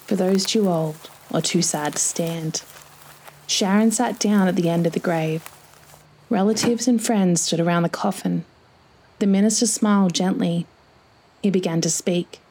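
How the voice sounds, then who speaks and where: A woman's voice: on the low side, measured pace, darkish tone, slight background noise, low-quality recording. A woman reading a scripted story as its narrator.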